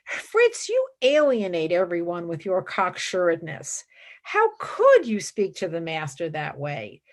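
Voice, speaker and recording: A woman acting in a radio play as an idealistic, exacting young philosophy student, earnest and expressive. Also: on the low side, unhurried, exaggerated voice. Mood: reproving.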